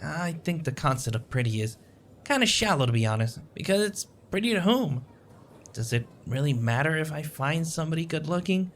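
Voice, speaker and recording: A man's voice: a little high, expressive, brisk, close to an everyday voice. A man playing a shy, anxious, sweet young male stranger in a romantic audio roleplay, hesitant, stammering and full of self-doubt. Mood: nervous, rambling.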